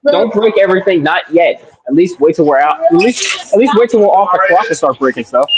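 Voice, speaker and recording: A man's voice: high voice, expressive, fairly quick, over a noisy crowd, rough recording. A man in his twenties in casual live-stream chat, joking around and fond of silly voices. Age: 20s.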